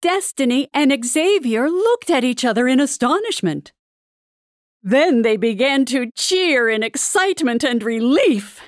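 A woman narrating a scripted audio drama like a storybook, with dramatic, deliberate delivery. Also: high-pitched, expressive, no echo, clean studio sound. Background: background music.

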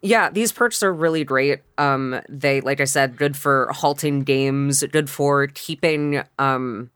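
A man co-hosting a podcast in casual discussion, speaking haltingly with trailing phrases. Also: medium pitch, a bit bright, clear, resonant tone, dry recording. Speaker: podcast co-host.

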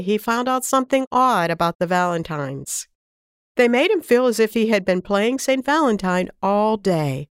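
A woman reading a classic children's story aloud in an expressive, warm storytelling manner on a close studio mic. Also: medium pitch, dry recording.

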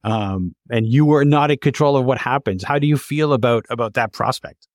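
A man's voice: medium pitch, normal speed, dry recording, clean studio sound. A man hosting a reflective interview, speaking thoughtfully and circling back over his phrases as he forms questions. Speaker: interview host.

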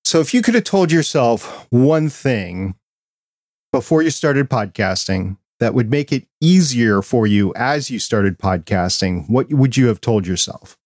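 A man's voice: medium pitch, lively intonation, a little slow, a little mellow, no echo. Male podcast host, dry close sound. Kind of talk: casual interview chat.

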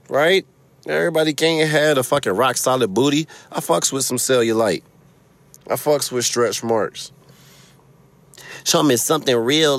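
A man's voice: medium pitch, dry recording. A man with a Chicago accent hosting a casual solo podcast from his car, rambling, swearing freely and laughing often. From Chicago, USA. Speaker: podcast host.

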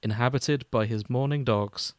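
A male podcast host with a mid-pitched voice moves between casual chat and reading fiction aloud for comedy. The sound is dry and close, with very little room on it. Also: normal speed, dry recording. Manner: breaks into chuckles while reading. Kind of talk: casual banter and comic read-aloud narration.

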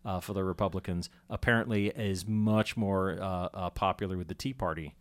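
A man with a lowish voice who lays out how things work step by step, in a relaxed, conversational way. He slips in dry jokes now and then. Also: moderate pace. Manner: explaining, wry humor. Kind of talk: casual political chat.

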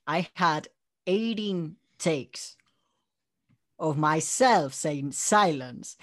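A man from Spain, chatting casually as an interview guest and telling stories in an animated way. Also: fairly high pitch, drawn-out words. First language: Spanish. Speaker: interview guest, audio drama creator and voice actor.

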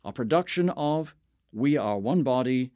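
A man's voice: medium pitch, animated, measured pace, warm tone, dry recording, studio quality. A man reading a formal production credit in a matter-of-fact way. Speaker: production announcer. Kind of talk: read credit announcement.

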